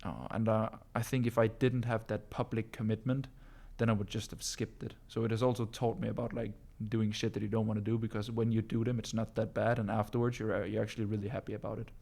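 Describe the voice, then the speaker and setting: A Danish man whose first language is Danish, talking casually in a live Q&A about business, often calling his listeners bro and filling pauses with um and uh. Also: fairly low pitch, level tone, gravelly, darkish tone. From Denmark. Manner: casual, calls listeners bro, many ums and uhs. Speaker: business coach answering viewer questions.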